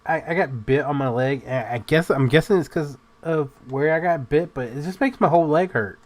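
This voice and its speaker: A man's voice: on the high side, a little fast. A man with a slightly nasal voice from a recent illness, chatting casually and telling joking stories while playing a video game with a friend. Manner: joking, animated storytelling. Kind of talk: casual chat while gaming.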